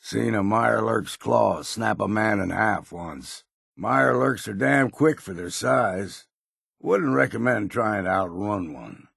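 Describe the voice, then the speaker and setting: Gravelly old American wasteland hunter. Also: male voice, fairly low pitch, expressive, measured pace, fairly bright tone, dry recording, clean studio sound, exaggerated voice. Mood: matter-of-fact. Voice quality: gravelly, husky. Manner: folksy, plain-spoken, blunt. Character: grizzled old wasteland hunter, gruff, wry, world-weary. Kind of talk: video game character voice lines.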